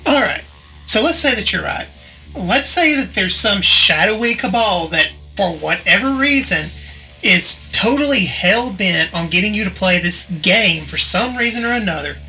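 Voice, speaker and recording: A blunt, sarcastic friend played by a woman who doubts everything in a mystery audio drama. She speaks naturally in a bright, medium-pitched voice with quiet music underneath. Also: animated, normal speed, low-quality recording. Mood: challenging.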